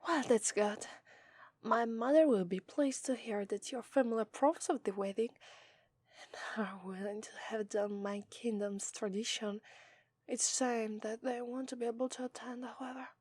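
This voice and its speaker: A tender, shy demon princess bride, played by a woman with an Italian accent, speaks in a breathy, slightly high voice that often sinks to a hush. She stumbles and restarts when she is nervous. The sound is close and dry, as in an ASMR roleplay. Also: no room sound. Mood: affectionate. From Italy. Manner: gentle and soft-spoken, often hushed to a whisper, with nervous hesitant restarts. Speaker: voice actress.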